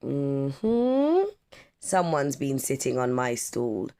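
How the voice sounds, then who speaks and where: A storyteller voicing a big, stern, suspicious father in a children's storybook, announcing slowly and emphatically. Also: medium pitch, sing-song, warm tone, exaggerated voice. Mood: knowing, displeased. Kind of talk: children's storybook read-aloud.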